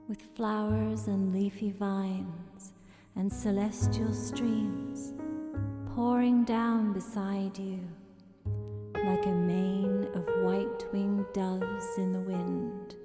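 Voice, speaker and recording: A woman reading a poetic narrative in a gentle, measured, meditative way, with pauses between short phrases. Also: medium pitch. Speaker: poet and narrator. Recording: long reverberant echo with music behind. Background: music.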